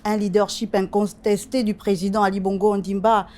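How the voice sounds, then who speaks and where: A woman's voice: mid-range voice, flat delivery, fairly quick, a little mellow. A woman hosting a television interview, asking questions and prompting her guest with short acknowledgements. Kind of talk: broadcast interview.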